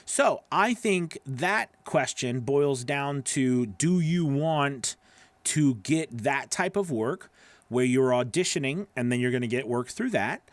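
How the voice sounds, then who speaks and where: A man's voice: medium pitch, lively intonation, slow, no echo. Conversational male voice-over coach, dry close sound. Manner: conversational, explanatory, frequent "right?" and "okay" check-ins. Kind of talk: informal tutorial talk to camera. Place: small home studio with acoustic panels, indoors. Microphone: close broadcast microphone on boom arm.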